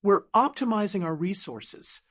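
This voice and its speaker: A woman's voice: mid-range voice, lively intonation, measured pace. A woman voicing a cold, controlling male resort CEO with an unyielding corporate manner, in an audiobook drama. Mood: coolly dismissive.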